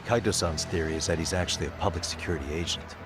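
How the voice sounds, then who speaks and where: An actor playing a level-headed detective in an English game dub, measured and explanatory as he briefs his allies. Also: sits low, steady tone, background noise, natural voice. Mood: serious, steady.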